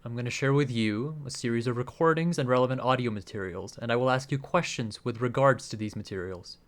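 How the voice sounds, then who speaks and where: Guy acting a government agent running an interrogation, very formal and controlled, mid pitch. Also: fairly quick, natural voice. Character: formal, businesslike male investigating agent. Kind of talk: recorded interrogation in a radio play.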